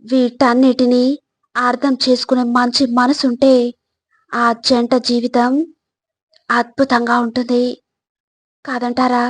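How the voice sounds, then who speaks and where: A woman with a slightly high voice delivers scripted motivational narration. She speaks very slowly and instructively, setting out each phrase separately. The sound is dry and close. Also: completely flat delivery, no echo.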